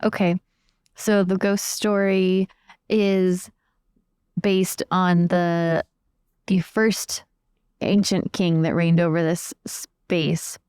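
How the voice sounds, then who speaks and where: A woman's voice: on the low side, little pitch movement, drawn-out words, long pauses, a little mellow, clear, resonant tone, dry recording, clean studio sound. A woman improvising a story in a conversational, chatty way as part of a tabletop role-playing game.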